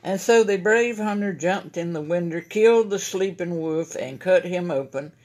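A woman's voice: lowish voice, moderate pace, darkish tone. A woman with a Southern American accent reading a children's story aloud as narration. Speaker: library story reader. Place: library room, indoors at a table.

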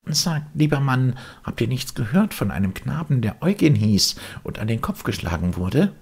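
A man voicing a small, anxious, excitable wooden puppet boy in a children's storybook reading. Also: mid-range voice, moderate pace, creaky, slightly rough, pitched above the performer's own voice, full character voice. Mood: worried. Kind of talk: children's audiobook character voice.

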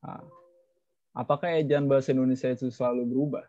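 A man's voice: medium pitch, little pitch movement, fast, dark tone. Chatty young man moderating a call. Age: young adult. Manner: chatty, jokey, teasing. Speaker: webinar moderator. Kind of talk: casual moderating on a video call. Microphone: video call. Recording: dull lo-fi call audio.

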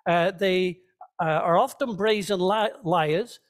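A man's voice: high voice, slow pace. A man preaching a sermon as a pastor, teaching conversationally and checking in with his listeners. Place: church, speaking to a congregation.

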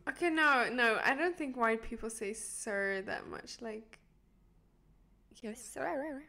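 A young woman hosting a casual reaction video. Her voice is fairly high and her pace is unhurried. She comes across as easily amused, speaking into a desk mic. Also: gravelly, sharp tone. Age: 20s. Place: small indoor room. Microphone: desk microphone up close.

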